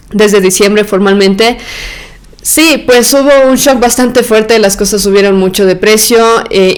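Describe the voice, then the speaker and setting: Smooth-voiced woman podcaster, chatty. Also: medium pitch. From Argentina and Bolivia. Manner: chatty, opinionated, many fillers and restarts. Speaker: podcast host. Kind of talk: solo podcast commentary.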